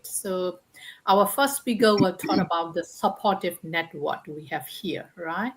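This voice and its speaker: A woman's voice: medium pitch, bright, forceful tone, low-quality recording. Woman hosting an online group session. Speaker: host of a business group session. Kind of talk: hosting an online panel talk.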